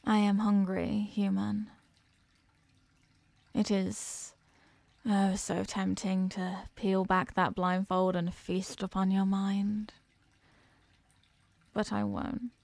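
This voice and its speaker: An ancient eldritch goddess, acted by a woman in a horror audio roleplay, imperious and menacing. Her voice is mellow and gravelly, recorded very dry and intimate. She talks down to lesser beings with deliberate, hungry condescension. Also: mid-range voice, fairly even intonation, dry recording. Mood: hungry, restrained. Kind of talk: slow horror audio roleplay monologue, ASMR.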